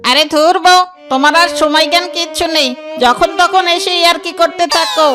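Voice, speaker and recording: A woman's voice: very high voice, a little fast. A woman voicing a sly, cowardly male fox in a children's cartoon, theatrical and whiny. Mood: grumbling. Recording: music bed behind the voice.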